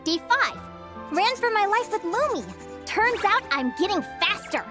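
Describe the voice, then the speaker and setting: A woman voicing a cheerful, dreamy young female adventurer in a video game, expressive and talking to herself with determination. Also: extremely high pitch, a little slow, music in the background, full character voice. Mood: reflective, plucky. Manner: expressive, exclamatory, talks to herself.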